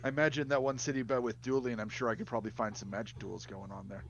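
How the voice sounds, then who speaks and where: A man's voice: medium pitch, flat delivery, quick pace, a little hiss or hum. A man playing in a tabletop game who tentatively suggests plans. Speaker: player. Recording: dull lo-fi mic.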